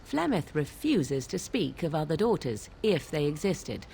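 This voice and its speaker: An actress playing an aloof, sardonic young witch of the wilds in a fantasy video game, with formal archaic diction and a deliberate, theatrical delivery. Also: low-pitched, very animated, gravelly, warm tone, slight background noise, full character voice. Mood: wry, guarded.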